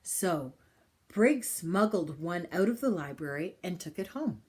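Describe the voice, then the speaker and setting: Woman reading a storybook with lively intonation. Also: lowish voice, unhurried. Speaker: storybook reader. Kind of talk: expressive read-aloud of a children's picture book.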